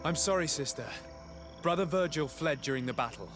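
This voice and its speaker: A man's voice: on the high side, expressive, fairly quick, over music, lightly acted. A man playing a courteous, dutiful envoy, the polite and formal hero of a video game. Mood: apologetic. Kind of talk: scripted video game dialogue.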